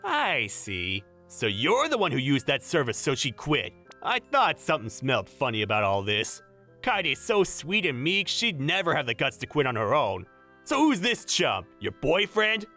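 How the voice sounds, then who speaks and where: A man's voice: on the high side, wildly swooping pitch, normal speed, pushed and bright, soft background music, exaggerated voice. An actor playing a smug, condescending male boss who bullies and mocks, in a manga dub. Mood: sneering. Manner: mocking, condescending. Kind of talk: anime-style manga dub acting.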